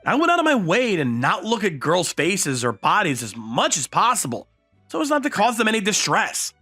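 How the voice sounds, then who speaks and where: Man voicing bashful teenage boy, animated delivery. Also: high-pitched, moderate pace, breathy edge, soft background music, heavily acted. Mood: explaining. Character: modest, bashful high school boy narrating his romance. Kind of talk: anime-style manga dub acting and narration.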